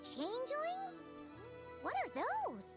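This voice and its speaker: Curious little girl for a fantasy game: tiny high voice, lively intonation, eager and inquisitive. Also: slow, over music, exaggerated voice. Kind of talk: video game character acting.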